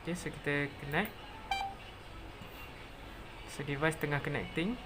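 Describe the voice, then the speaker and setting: A presenter with a Malaysian accent narrating a step-by-step software tutorial, mixing in English technical terms. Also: mid-range voice, unhurried, noisy background.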